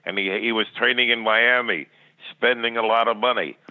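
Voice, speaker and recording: A man's voice: mid-range voice, fairly even intonation, moderate pace, dry recording. Older man on a tinny phone line. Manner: rambling, plainspoken, stammering repetitions. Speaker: podcast guest. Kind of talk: reminiscing in interview.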